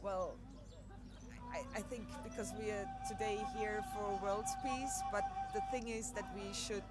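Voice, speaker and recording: A woman answering a street interview outdoors, slow and thoughtful. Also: medium pitch, fairly even intonation. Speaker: festival participant. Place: outdoor festival square. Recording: loud music outdoors.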